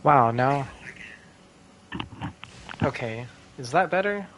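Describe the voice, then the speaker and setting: Low-voiced woman chatting casually over gameplay. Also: animated, slow pace, a bit bright, dull audio, slight background noise. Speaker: streamer.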